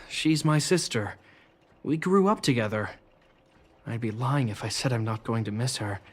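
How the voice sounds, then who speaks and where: A man's voice: medium pitch, a little slow, natural voice. A man playing an earnest, restrained young brother. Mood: tender, worried. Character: earnest, protective young brother, quietly resigned. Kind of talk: video game voice acting.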